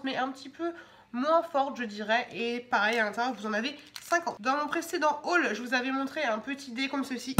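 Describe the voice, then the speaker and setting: A woman's voice: on the high side, sharp tone, a little hiss or hum. A young woman with a cold, chatting casually at home as she shows off her shopping haul, with lots of fillers and restarts. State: has a cold, stuffy. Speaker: vlogger showing shopping haul. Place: home indoors.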